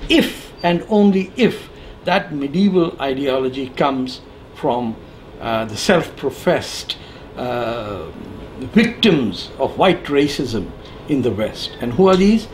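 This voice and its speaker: A man in his sixties giving an opinion monologue to camera, emphatic and sardonic, with deliberate phrasing. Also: medium pitch, animated, poor audio. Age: 60s. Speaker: political commentator. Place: living room with bookshelves, indoors. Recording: clear background noise.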